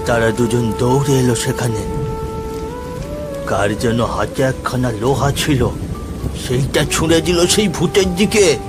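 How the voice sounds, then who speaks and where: A man playing an old grandfather who narrates a village ghost tale for a cartoon in a measured, dramatic way. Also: mid-range voice, natural voice.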